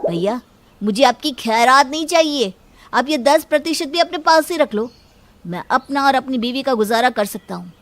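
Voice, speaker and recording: Proud, wronged younger brother, acted by a woman, very high pitch, firm and indignant, moral tale. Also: animated, normal speed, a bit bright. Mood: hurt, proud.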